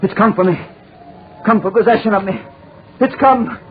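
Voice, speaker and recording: A man's voice: high-pitched, moderate pace, natural voice. An actor playing a tormented, paranoid old man in a melodramatic 1940s radio drama style, repeating himself desperately. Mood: frantic, terrified. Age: older. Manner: fraught, melodramatic, repeats phrases. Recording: scratchy, noisy, tinny 1940s radio recording.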